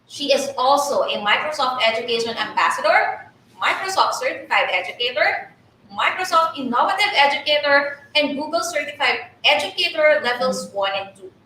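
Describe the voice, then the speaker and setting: High bright Filipino woman hosting. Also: normal speed, heavy reverb, far from the mic, a little hiss or hum, low-quality recording. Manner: lively, code-switches between English and Tagalog. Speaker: co-host of a teacher training webinar. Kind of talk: bilingual webinar hosting, reading introductions.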